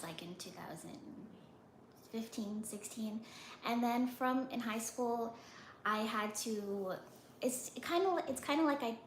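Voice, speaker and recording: A soft-spoken young woman from New York, a guest telling her story in an interview. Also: medium pitch, reverberant, a little hiss or hum. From United States (New York). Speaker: guest, podcaster.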